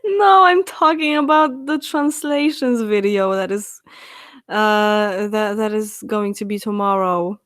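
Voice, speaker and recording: A Polish woman in her twenties chatting on a live stream, answering viewers' questions with frequent laughs and halting fillers. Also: mid-range voice, a bit bright, smooth, dry recording, studio quality. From Poland. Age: 20s. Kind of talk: casual live stream chat.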